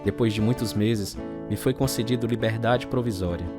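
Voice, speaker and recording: A man's voice: fairly low pitch, normal speed, lightly acted. An actor playing a troubled, bitter alcoholic man in a dramatized first-person radio story, speaking with a Brazilian accent and grave delivery. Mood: grave, reflective. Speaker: narrator and protagonist of a radio testimony drama. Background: acoustic guitar music.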